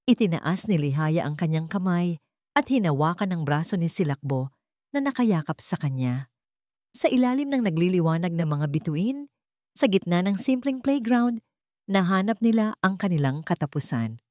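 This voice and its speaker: A woman reading a scripted romance story as narrator, in measured, sentimental short phrases. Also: lowish voice, sing-song, dark tone, dry recording, studio quality.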